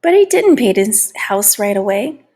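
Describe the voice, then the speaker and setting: A woman reading a children's story aloud expressively and deliberately, lingering on key words. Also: mid-range voice, very animated, warm tone. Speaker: storybook reader.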